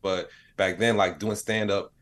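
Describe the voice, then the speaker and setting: A man's voice: low-pitched, steady tone, measured pace, fairly bright tone. A man speaking as an interview guest in casual, reflective conversation, peppering his talk with "like" and "you know". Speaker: podcast guest, comedian.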